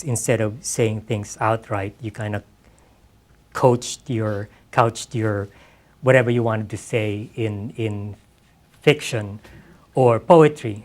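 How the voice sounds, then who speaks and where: A man's voice: medium pitch, a little mellow. A middle-aged man from the Philippines, a writer talking casually and reflectively at a live event. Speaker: writer, interview guest. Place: live public literary event.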